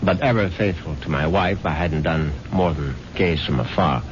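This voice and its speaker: A man's voice: sits low, a little hiss or hum, close to an everyday voice. An actor playing a pompous, easily flustered middle-aged rich widower who narrates his own story in a 1970s radio drama. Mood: smug. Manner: pompous, self-satisfied, halting when flustered. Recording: muffled old radio broadcast, music behind.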